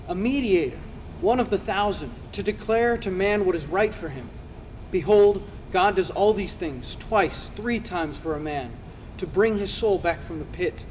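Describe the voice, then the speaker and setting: Man acting as earnest scripture counselor, high male voice, declamatory, preaching, long reverb, faint noise. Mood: insistent. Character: Elihu, earnest male counselor rebuking and lecturing Job. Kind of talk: dramatized scripture reading.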